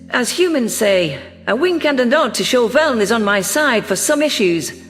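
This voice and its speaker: A performer voicing an earnest, wary deposed alien governor who narrates in a measured, formal, reflective way. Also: medium pitch, a bit bright, close to an everyday voice. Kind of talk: scripted first-person audio story narration.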